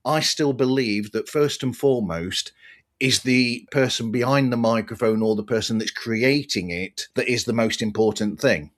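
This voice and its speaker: A man's voice: mid-range voice, moderate pace. A man hosting a conversational podcast interview, rambling a little as he thinks aloud. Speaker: podcast host.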